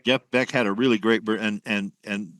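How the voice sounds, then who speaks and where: Low-voiced older man reminiscing. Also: steady tone, brisk, fairly bright tone, no echo. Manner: rambling, reminiscing, many ums. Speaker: guest, musician. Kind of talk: casual interview chat.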